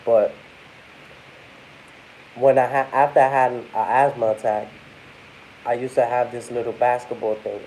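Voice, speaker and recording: A man's voice: mid-range voice, little pitch movement, a little slow, pauses often, darkish tone, slight background noise, rough recording. A congested young man vlogging casually, rambling and talking to his viewers as y'all. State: congested, under the weather. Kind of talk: casual talk to camera.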